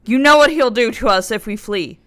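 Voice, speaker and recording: Woman acting a forceful, high-voiced guard leader. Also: animated, fairly quick. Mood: defiant. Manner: forceful, commanding. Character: fierce, commanding leader of the guards of a box. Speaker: actor. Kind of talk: radio drama acting.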